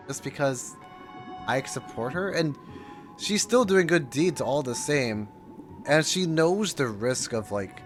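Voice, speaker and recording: A man's voice: medium pitch, fairly bright tone. A man giving casual, informal reaction commentary on a game, thinking aloud as he goes. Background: game music.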